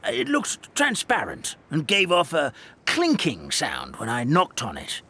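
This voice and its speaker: A man playing a fussy, deferential old grandfatherly creature in a fairy-tale game, with a creaky voice. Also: fairly high pitch, wildly swooping pitch, a little slow, pushed and bright, a little hiss or hum, heavily acted. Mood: dutiful. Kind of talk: English game dub voice acting.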